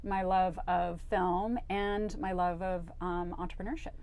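A woman's voice: lowish voice, unhurried. A woman in her forties who grew up in Hawaii, telling stories as an interview guest on a flowery studio set, with a lapel mic. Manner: chatty, animated storyteller acting out quotes. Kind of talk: casual interview storytelling.

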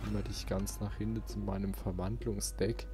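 Low-pitched man commenting on a game. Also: little pitch movement, normal speed, dark tone. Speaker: let's player, channel host. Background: game music.

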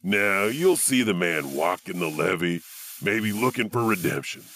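An actor playing a ghoulish, wry old storyteller, hosting horror tales in a theatrical, punning way. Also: male voice, sits low, expressive, a little slow, fairly bright tone. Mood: ominous. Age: older. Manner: sly, theatrical, punning, chuckling. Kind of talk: horror anthology host narration.

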